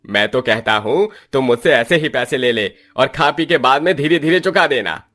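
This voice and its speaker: A man puts on a kindly older village uncle for a cartoon. The voice is pitched up from his usual one. He speaks in a slow, concerned, fatherly way. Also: expressive, a bit bright, lightly acted.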